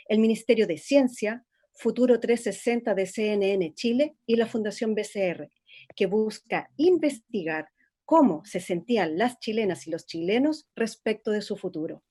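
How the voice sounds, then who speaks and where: An actress playing a female documentary reporter, reading scripted narration in a measured, informative way. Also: mid-range voice, dark tone, lightly acted.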